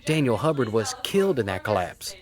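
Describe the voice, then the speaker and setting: An actor playing a serious, determined man in an audio soap opera, measured and earnest. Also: medium pitch, big pitch swings, a little mellow, close to an everyday voice. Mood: grave. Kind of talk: soap-opera radio acting.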